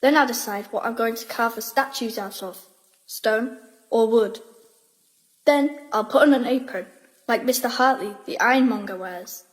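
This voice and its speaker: High-voiced, plucky schoolgirl, played by a woman. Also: normal speed, lightly acted, poor audio. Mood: dreamy, determined. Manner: matter-of-fact, decisive. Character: plucky, determined schoolgirl who dreams of sculpting. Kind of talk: audio drama acting.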